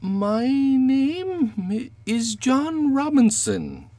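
A woman's voice: mid-range voice, wildly swooping pitch, unhurried, darkish tone. A woman playing a small, timid, guilty man in a Victorian detective drama, agitated and servile in manner. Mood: nervous, eager.

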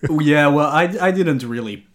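A man co-hosting a casual game chat, laid-back and soft-spoken, mostly chiming in with short agreeing replies. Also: a little high, moderate pace. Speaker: co-host.

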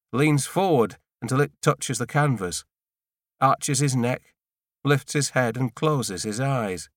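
A man's voice: medium pitch, fairly bright tone, no echo. Man narrating, close and dry. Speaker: audiobook narrator. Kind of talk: scripted literary narration in the first person.

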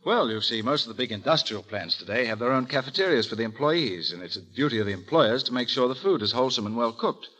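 An earnest, cheerful young gentleman with a bright, forward voice. He speaks eagerly and politely with lively swings of pitch, in 1930s radio comedy style. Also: fast talker, hardly any pauses, poor audio.

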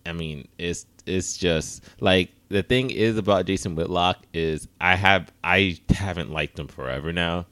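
A man with a very low voice hosts a casual sports podcast. He talks conversationally and gives his opinions freely, in a dry recording with little room sound. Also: unhurried, few pauses, a bit bright, clean tone, dry recording. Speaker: podcast host.

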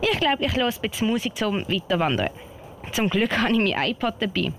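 A woman's voice: on the high side, very animated, brisk, fairly bright tone. A woman playing a young, earnest girl scout in an amateur radio play, chatting with a Swiss German accent. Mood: relieved, hopeful. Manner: chatty, thinking aloud. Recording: slightly noisy amateur recording.

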